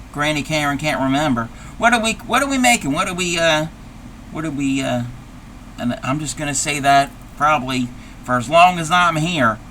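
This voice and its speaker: A man's voice: a little high, pushed and bright, a little hiss or hum, heavily acted. A man voicing a chatty, forgetful old grandmother who rambles, repeats herself and reads viewer chat aloud while crafting on a livestream. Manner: rambling, loses her train of thought, repeats herself. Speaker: streamer.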